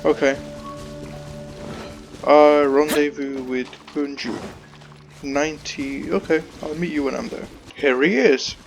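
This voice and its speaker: Guy doing a casual let's play, kinda higher voice, offhand little comments, soft music behind. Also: steady tone, slow, gravelly, long pauses. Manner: offhand, reacts in short remarks. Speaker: gamer, let's play host.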